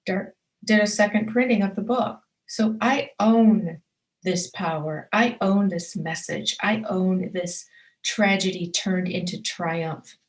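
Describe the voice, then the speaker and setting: A woman's voice: mid-range voice, slow, poor audio. A woman hosting her own show and giving a motivational talk. She speaks earnestly and emphatically, stacking short repeated affirmations and addressing the listener directly.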